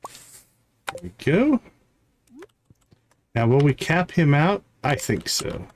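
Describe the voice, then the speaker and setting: Man doing lively game stream commentary. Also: a little high, slow, a little hiss or hum. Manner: thinks aloud, chatty with viewers. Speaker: livestream host playing a video game. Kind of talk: casual gameplay commentary. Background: game music.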